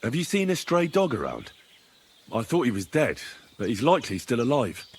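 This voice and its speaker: Scrappy young adventurer lad, plain talker, lively pitch, game scene with some background noise. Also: male voice, moderate pace. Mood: worried, searching. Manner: plain-spoken, questioning, swears. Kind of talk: video game dialogue acting.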